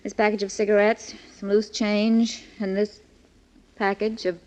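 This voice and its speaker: An actress playing a plucky, skeptical newspaper reporter with an American accent and sassy retorts, in 1940s radio crime drama style. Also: female voice, medium pitch, little pitch movement, measured pace, a little mellow, lightly acted. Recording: dull old 1940s radio recording.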